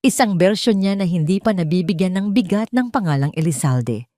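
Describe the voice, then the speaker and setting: A woman's voice: on the low side, animated, a little fast, dark tone, no echo, clean studio sound. A woman with a Filipino accent reading a scripted dramatic story as its narrator. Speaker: narrator of audio drama stories.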